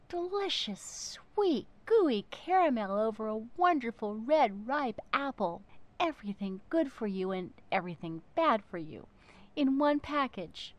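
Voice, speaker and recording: A woman narrating a children's book in an expressive, theatrical way, with dramatic emphasis and pauses. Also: a little high.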